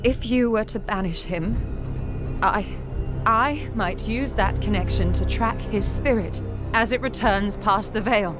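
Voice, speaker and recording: Lady voicing a composed sneaky inquisitor, careful halting speech, music behind, video game scene. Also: medium pitch, lightly acted. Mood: calm, strained. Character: composed, cunning woman inquisitor held as a traitor. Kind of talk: video game cinematic acting.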